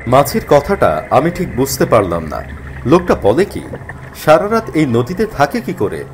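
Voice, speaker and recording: A man narrating a spooky first-person story in an expressive, dramatized way. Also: medium pitch, moderate pace, slight background noise. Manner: expressive, acts out the character's worried thoughts. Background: faint music.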